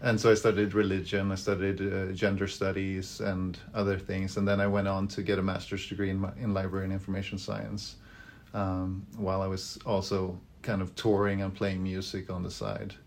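A man's voice: low voice, monotone, normal speed, vocal fry. A Swedish man, a library director in his thirties, answering interview questions thoughtfully with frequent fillers and restarts. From Sweden.

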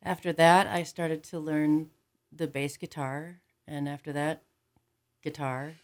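A woman's voice: low-pitched, level tone, takes it slowly. A woman music teacher, a guest talking casually and enthusiastically about her instruments. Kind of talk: casual interview talk.

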